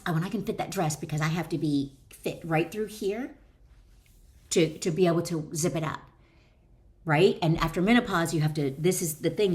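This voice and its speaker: A middle-aged woman author reads her own wellness book aloud with chatty asides, encouraging the listener directly. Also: on the low side.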